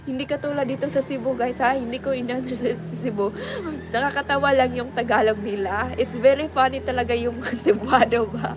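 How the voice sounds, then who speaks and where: A woman's voice: fairly high pitch, steady tone, quick pace, background noise. Chatty Filipino woman vlogger. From Philippines. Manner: chatty, switches freely between English and Tagalog, laughs often. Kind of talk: casual chat to viewers.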